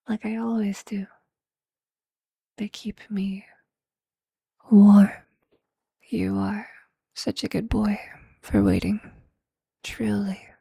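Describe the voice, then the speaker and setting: A performer voicing a possessive, tender vampire lover in an intimate, breathy ASMR roleplay whisper, with a hushed, seductive and affectionate manner. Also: medium pitch, dry recording, heavily acted. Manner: slow, hushed, seductive, affectionate, formal wording.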